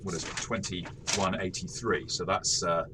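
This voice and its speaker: A man co-hosting a casual game review discussion, thoughtful and halting, often rephrasing mid-sentence. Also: sits low, steady tone, bright, forceful tone, a little hiss or hum. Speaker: co-host of a game review show.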